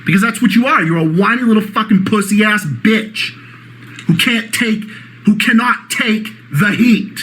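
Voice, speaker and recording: A man with a Boston accent delivering a profane, mocking reaction rant, often shouting. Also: high voice, expressive, moderate pace. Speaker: YouTube ranter.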